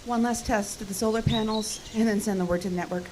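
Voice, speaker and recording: An actress playing a spirited, teasing field technician out camping in an audio horror drama, expressive in delivery. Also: female voice, medium pitch, quick pace, background noise, close to an everyday voice. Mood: practical.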